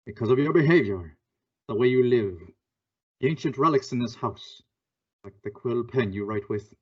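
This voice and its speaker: A man acting a stern, menacing invisible crime fighter in an old-time radio thriller, speaking deliberately and accusingly. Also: medium pitch, lively intonation, slightly rough. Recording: dull lo-fi audio.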